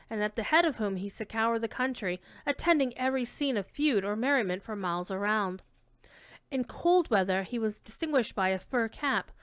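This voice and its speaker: A woman giving an expressive dramatic reading of classic literary narration, with emphasis on key words. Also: fairly high pitch, clean tone, dry recording.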